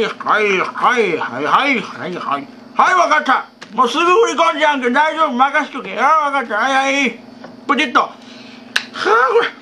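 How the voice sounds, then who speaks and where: A man's voice: very high-pitched, bright, forceful tone, slight background noise, exaggerated voice. A man doing a reedy, forgetful old grandpa. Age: older. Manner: mumbling, talks to himself, chuckles at his own jokes. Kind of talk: solo comedy sketch.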